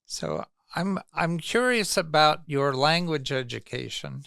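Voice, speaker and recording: A male podcast host who speaks slowly, with a gravelly but bright and crisp voice. He asks curious, relaxed questions in a casual interview, recorded dry and close. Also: fairly high pitch, no room sound.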